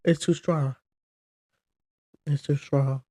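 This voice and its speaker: A woman's voice: low-pitched, fairly even intonation, takes it slowly, over loud music. A young woman hosting her own podcast in a casual, chatty, rambling way, sounding congested from a cold. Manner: chatty and rambling, talking to camera. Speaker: podcast host. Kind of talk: casual solo chat.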